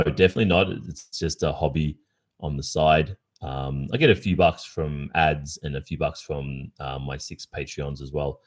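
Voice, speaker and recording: An Australian man in his early forties with an exceptionally low voice. He chats informally on a livestream, answering listeners' questions. His delivery is thoughtful, with pauses and the occasional hesitation. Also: darkish tone. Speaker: ASMR roleplay streamer.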